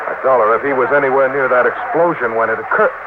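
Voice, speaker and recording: A man's voice: mid-range voice, quick pace, lightly acted. An actor playing an anxious, defensive American businessman, agitated and emphatic, in 1950s radio style. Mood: distressed, baffled. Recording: noisy old radio recording, narrow band.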